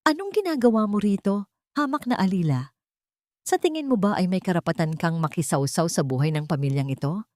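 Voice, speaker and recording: A woman's voice: lively intonation, a little fast, a little mellow, no echo, natural voice. A woman voicing a venomous, haughty old rich matriarch who threatens with cruel contempt in an audiobook drama. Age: older. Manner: low, hissing, each word pressed out.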